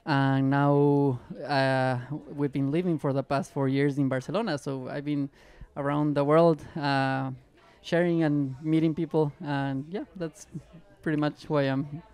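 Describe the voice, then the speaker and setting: A man's voice: fairly high pitch, little pitch movement, measured pace, few pauses, a little mellow, smooth. A tired man, an interview guest talking openly and with enthusiasm. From Ecuador. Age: 30s. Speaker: guest, trail runner. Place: pop-up store at a race event.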